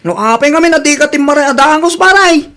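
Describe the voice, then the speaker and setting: High-voiced evasive buddy acted by a man. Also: lively intonation, a little fast, sharp tone, heavily acted. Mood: pleading, evasive. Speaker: drama actor. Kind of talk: Ilocano audio drama acting.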